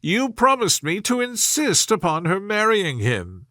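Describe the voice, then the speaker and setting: Man putting on a high, fretful, pushy mother. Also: wildly swooping pitch, measured pace, bright, forceful tone, no echo, clean studio sound, exaggerated voice. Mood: indignant. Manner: insistent, exclamatory pleading. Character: fretful, excitable middle-aged mother, pushy and flustered. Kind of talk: storybook character voice in classic novel reading.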